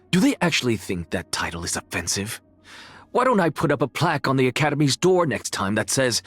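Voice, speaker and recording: Aloof sardonic professor from a game, slow n theatrical, kinda husky voice. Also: male voice, mid-range voice. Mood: mocking, playful. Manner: haughty, deliberate, theatrical. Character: arrogant, sardonic male scholar and teacher, theatrical and aloof. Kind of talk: scripted video game character voicelines.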